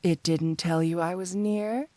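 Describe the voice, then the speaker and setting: A woman's voice: lowish voice, steady tone, warm tone, no echo, close to an everyday voice. A woman playing a flirty, teasing supervillain cat burglar in an intimate ASMR roleplay, speaking coyly to the listener. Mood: teasing, affectionate. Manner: coy, teasing, intimate, unhurried.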